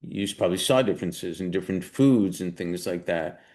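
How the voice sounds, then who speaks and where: Deep-voiced male interview host. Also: brisk, darkish tone. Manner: rambling, encouraging questions with restarts. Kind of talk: casual interview.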